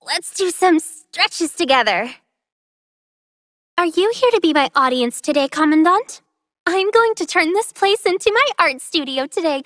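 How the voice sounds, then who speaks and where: Very high-voiced playful young artist girl. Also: fairly bright tone, dry recording, studio quality. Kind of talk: video game character voice lines.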